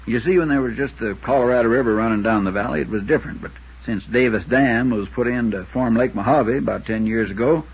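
A folksy small-town Western insurance agent, spinning his account at length with plenty of detours. His voice is a little husky and sits at a middling pitch. It comes through a dull, muffled 1950s radio recording. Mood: earnest, animated. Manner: folksy, drawn-out storytelling. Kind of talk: 1950s radio drama acting.

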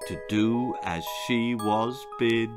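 A man's voice: medium pitch, slow, over music. A man in his sixties reading a children's story aloud as a dramatic narrator, in a room indoors. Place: small book-lined room indoors.

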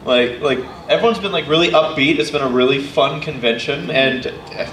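A man's voice: mid-range voice, slight background noise. A young man joking on a convention panel, talking into a table mic, picked up from across the room. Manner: lively, joking, quick to laugh. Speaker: podcast panelist. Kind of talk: casual panel chat. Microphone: table mic from a far camera. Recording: echoey room, crowd, far mic.

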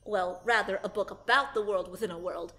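A woman's voice: medium pitch, a little fast, fairly bright tone, lightly acted. A woman voicing an eager, earnest young boy explorer who speaks in formal archaic phrasing. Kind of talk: amateur radio drama acting.

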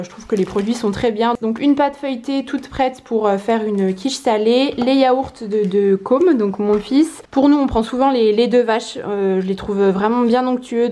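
Higher-voiced woman vlogging casually. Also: warm tone. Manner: chatty, conversational. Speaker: lifestyle and cooking vlogger. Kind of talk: casual vlog narration, talking viewers through food. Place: home kitchen, indoors.

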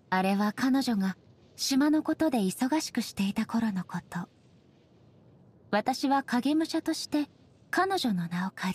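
Game role: ageless warrior goddess ruler, highish breathy voice, formal, solemn, measured delivery. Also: female voice, a little mellow, heavily acted. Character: solemn, dignified ageless shogun goddess, stern yet softly sincere. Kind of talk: scripted game character voice lines.

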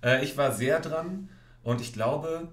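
A man chatting casually and informally in a lively way. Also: fairly high pitch, slow pace. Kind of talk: casual behind-the-scenes chat. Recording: roomy, echoey sound.

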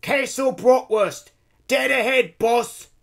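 A man voicing an excited engineer bellowing orders in a storybook adventure. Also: extremely high pitch, slow pace, vocal fry, voice pushed up, heavily acted.

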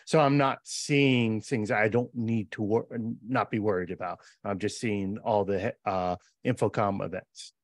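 A man in his forties, a programmer co-hosting a casual podcast over a video call, explaining his views earnestly in quick bursts, wearing headphones at a podcast mic. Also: lowish voice, fairly even intonation, no room sound. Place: home office on a video call.

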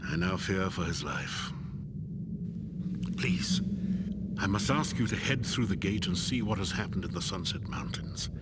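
Low-voiced, grave quest giver. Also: male voice, expressive, heavy background noise. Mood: grave, concerned. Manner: formal, measured. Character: grave, courteous hooded male order agent giving missions. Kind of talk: video game dialogue.

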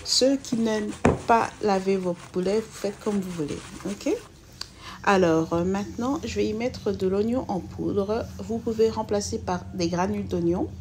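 A woman's voice: medium pitch, a little mellow, slight background noise. A woman, hosting a home cooking video as she talks viewers through a recipe in a chatty, hesitant way with many fillers. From Belgium. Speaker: cooking channel host. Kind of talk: casual cooking voice-over.

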